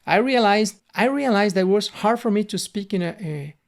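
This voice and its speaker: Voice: medium pitch, animated, normal speed. Cuban-accented middle-aged language tutor. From Cuba. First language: Spanish. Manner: conversational, earnest, repeats words while searching for them. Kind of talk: unscripted spoken lesson monologue.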